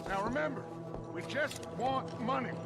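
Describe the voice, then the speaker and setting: A man's voice: extremely high pitch, expressive, moderate pace. A man voicing a grandiose, commanding middle-aged outlaw gang leader who talks in a theatrical, persuasive way. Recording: game cutscene with music score. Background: orchestral game music.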